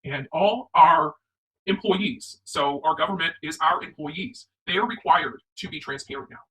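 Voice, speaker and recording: A woman's voice: sits low, normal speed, fairly bright tone, far from the mic, rough recording. A woman from the United States hosting opinionated livestream commentary, speaking emphatically and repeating her points for stress. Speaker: online commentary show host.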